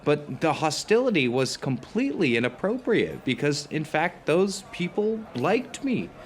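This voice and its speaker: An actor playing a hesitant, neurotic dinner companion in an audio drama, speaking in a halting, stammering, hedging way. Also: male voice, on the high side, sing-song. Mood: nervous grievance. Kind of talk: conversational audio drama dialogue.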